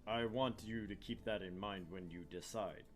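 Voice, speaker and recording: A man voicing a genial, apologetic professor in an anime game read-aloud, with an emphatic, deliberate delivery. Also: on the low side, lightly acted. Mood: earnest, apologetic. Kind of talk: visual novel character read-aloud.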